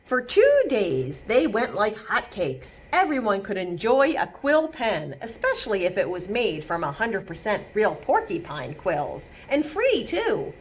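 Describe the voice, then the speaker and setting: Animated woman reading a picture book aloud. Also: medium pitch, a little hiss or hum. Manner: animated, explains words and pictures simply. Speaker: children's librarian reading at storytime. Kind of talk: expressive picture-book read-aloud with asides to young children.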